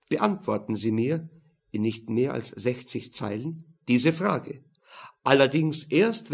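A male narrator reads a crime novel for an audiobook. His voice sits in a middle register and has a husky, unpolished grain. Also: mid-range voice, darkish tone.

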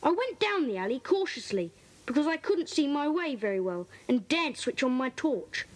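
A woman's voice: sits high, sing-song, moderate pace, low-quality recording. A woman with a British accent playing an earnest, eager schoolboy detective in a children's audio drama, telling his story in careful detail. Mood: earnest, intrigued.

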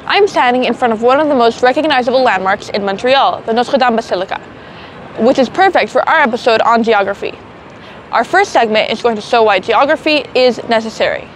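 A young woman hosting a student show, presenting clearly and upbeat from outdoors in a city square. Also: fairly high pitch, a little fast, slight background noise, low-quality recording. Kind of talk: scripted presenting.

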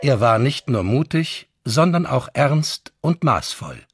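A man's voice: mid-range voice, sing-song, takes it slowly, husky, no room sound, studio quality, exaggerated voice. A man voicing a solemn chronicler, reading historical quotations in a dramatic, storytelling way. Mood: grave, dramatic.

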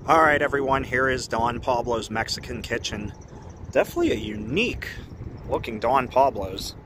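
Casual male explorer vlogger, mid-pitch, talkative, faint outdoor noise. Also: lively intonation, normal speed. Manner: chatty, ranting, easily indignant. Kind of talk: casual walk-around narration. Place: outdoors around an empty brick building.

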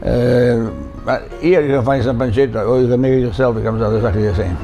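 An older man with an Italian accent talks in a gravelly, dark voice. He tells stories with animation, restarts often and slips into Italian words. He is a chef being interviewed in a bright room. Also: medium pitch, fast, faint music behind. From Italy. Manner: animated storyteller, repeats words, drops into Italian. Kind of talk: casual interview.

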